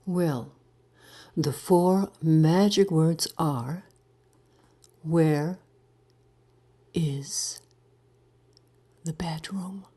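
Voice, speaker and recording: Woman voicing a dramatic, dreamy old widower, drawn-out delivery. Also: low-pitched, mellow, slightly rough, heavily acted. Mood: teasing, hushed. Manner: theatrical, drawn-out with long pauses. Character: dreamy, dramatic, lonely old widower savoring his memory.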